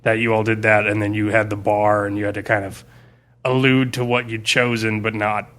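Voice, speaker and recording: Bright-voiced man explaining casually. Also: on the low side, level tone, moderate pace. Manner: informal, sweary, filler-heavy explaining. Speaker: game master on a podcast. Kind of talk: casual post-game discussion.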